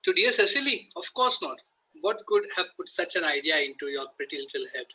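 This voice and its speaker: Flustered Victorian gentleman for stage comedy, man reading, very sharp bright tone, husky, formal. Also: on the high side, sing-song, brisk, full character voice, low-quality recording. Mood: affectionate, dismissive. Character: earnest, flustered Victorian gentleman caught lying. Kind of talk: amateur table read of a stage comedy.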